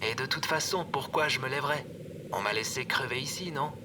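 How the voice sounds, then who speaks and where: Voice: mid-range voice, a little fast, far from the mic, music in the background, close to an everyday voice. An actor playing a sulky, self-pitying man who grumbles his way through a scripted audio drama. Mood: sullen. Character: sullen, self-pitying man, bedridden loser.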